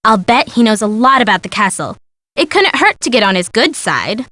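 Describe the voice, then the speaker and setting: A woman's voice: a little high, big pitch swings, moderate pace, bright, forceful tone, no room sound. An actress playing a bubbly, imaginative young woman in a video game, gushing and theatrical. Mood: teasing. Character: bubbly, dramatic, imaginative young woman, sleuth's best friend. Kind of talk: scripted video game dialogue.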